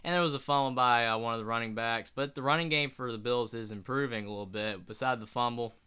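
A man's voice: mid-range voice, fast, sharp tone, clear, resonant tone. A young man hosting his own sports channel from a small bedroom, talking into a webcam in a rambling, earnest way, tired late at night. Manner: rambling, restarts mid-sentence, earnest. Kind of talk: casual solo sports commentary. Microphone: webcam at desk.

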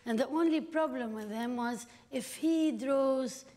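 A woman in her forties from Lebanon, a filmmaker guest at a live panel, telling stories in a lively way with quoted asides. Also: high voice, measured pace. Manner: lively storytelling with frequent laughs and quoted asides. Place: gallery room with seated audience. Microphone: stand mic at a distance. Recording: echoey room from a stand mic.

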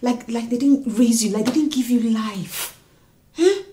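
An actress playing a young wife who reproaches her husband for mistreating his mother, moving from hushed whispers to shrill scolding. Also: a little slow, lightly acted. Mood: reproachful. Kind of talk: melodrama acting.

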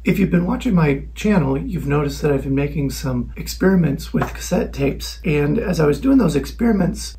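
Husky, slightly higher-voiced man explaining things. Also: mellow. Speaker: video maker presenting a tutorial. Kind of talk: explanatory tutorial narration.

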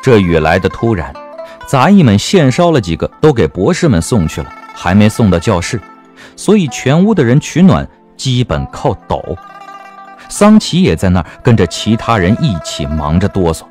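A man's voice: on the low side, big pitch swings, normal speed, a little mellow. A man narrating a comic period novel as an audiobook, with a wry, theatrical delivery. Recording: music bed under the voice.

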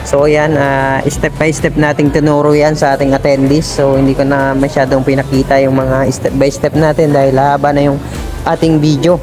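A man who trains barbers, narrating his vlog in a relaxed way with many fillers. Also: mid-range voice, moderate pace, over music.

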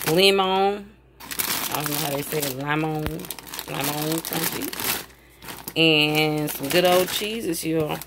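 A woman vlogger chatting casually and enthusiastically to viewers about groceries in a folksy way, recorded on a handheld camera in a home kitchen. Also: deep voice. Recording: clear background noise, crinkling packaging.

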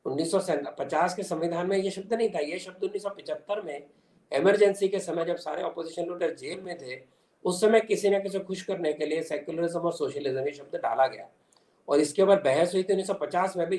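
An older man speaks at a slightly raised pitch. He explains his political views emphatically and drops in English terms. The sound is an echoey room over a video call. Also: fairly quick, darkish tone. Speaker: interview guest, commentator. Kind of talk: explanatory political interview answer. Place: small indoor room at home. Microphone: video call device.

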